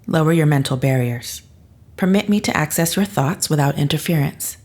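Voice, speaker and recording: An actress playing a guarded psychic astronaut in an audio drama, speaking in a formal, deliberate and restrained manner. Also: female voice, sits low, a little mellow. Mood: cool, probing.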